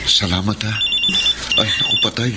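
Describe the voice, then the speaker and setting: Actor as an earnest, henpecked young boyfriend, noisy recording. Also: male voice, fairly low pitch, fairly even intonation, moderate pace, lightly acted. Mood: weary, flustered. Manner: pleading, exasperated. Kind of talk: Filipino radio drama acting. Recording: noisy radio drama recording.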